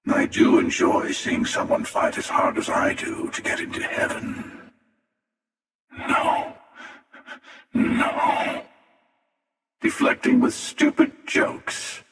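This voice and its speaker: An actor playing a cynical, menacing older male hunter in a video game, with a gravelly rasp, a sardonic taunting manner and an echoing effect on the voice. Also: very high-pitched, wildly swooping pitch, slow pace, pauses often, a bit bright, heavily acted. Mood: wry, challenging. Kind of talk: video game character dialogue. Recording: echoing long reverb, distant sound.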